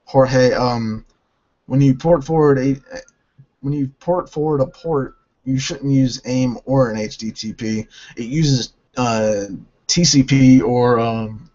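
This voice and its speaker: A hoarse man from North Carolina hosting a gaming channel, answering viewer questions in a halting way and often restarting his sentences. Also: medium pitch, a little mellow, muffled recording. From North Carolina, United States.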